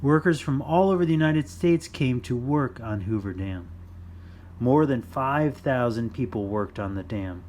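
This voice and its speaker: A man reading a children's nonfiction book aloud in simple, deliberate phrases for young listeners. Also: mid-range voice, expressive, darkish tone, a little hiss or hum. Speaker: children's storytime reader.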